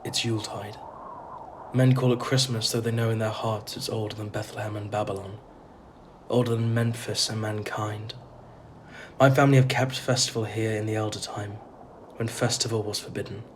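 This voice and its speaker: A man's voice: fairly low pitch, little pitch movement, normal speed, lightly acted. An actor playing a polite, uneasy traveller in an audio drama, earnest and hesitant in his delivery. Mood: solemn. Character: uneasy, polite male traveller narrating his strange homecoming. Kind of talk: audio drama narration and dialogue. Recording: clearly noisy ambience behind. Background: ambience noise.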